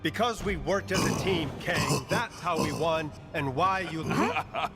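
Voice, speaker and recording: Stalwart, higher-voiced hero captain. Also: male voice, unhurried. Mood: triumphant. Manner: firm, earnest. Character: stalwart, upright heroic team captain.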